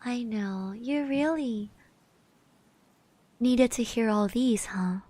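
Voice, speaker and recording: A woman with a fairly high, soft voice plays a sweet, shy classmate in an ASMR roleplay. She speaks very slowly and gently, hesitating and often sinking into a breathy whisper. The sound is close and dry. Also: dry recording. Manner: hesitant, gently reassuring, speaks of herself in third person. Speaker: ASMR roleplay performer, streamer. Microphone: close microphone.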